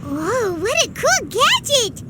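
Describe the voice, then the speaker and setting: A woman voicing a tiny, excitable, childlike floating fairy companion in a video game, squeaky and exclamatory. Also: slow pace, heavy background noise, heavily acted. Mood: amazed, curious. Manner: speaks of self in third person, exclamatory. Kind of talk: video game character acting.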